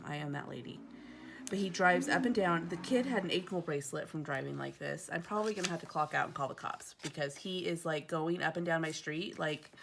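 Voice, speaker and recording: Casual home vlogger, woman in her thirties; gravelly, slightly stuffy, wanders off topic. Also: fairly low pitch, a little hiss or hum. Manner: chatty, rambling, digresses often. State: stuffy from allergies. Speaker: planner vlogger. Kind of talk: casual chat while decorating a planner. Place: home, indoors.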